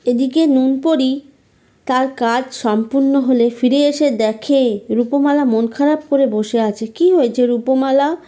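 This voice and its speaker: Female fairytale narrator: high-pitched, soft mellow tone, expressive storytelling delivery. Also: measured pace.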